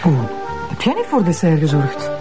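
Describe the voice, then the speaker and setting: A woman with a Flemish accent playing a warm wife and mother, chatting emphatically and informally in a television crime drama. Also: deep voice, huge pitch swings, normal speed, loud music behind the voice. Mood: teasing.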